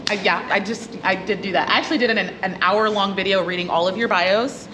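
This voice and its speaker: A woman's voice: medium pitch, fairly even intonation, brisk. A woman hosting a casual chat, talking in a chatty way and often giggling. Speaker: host. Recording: noisy background.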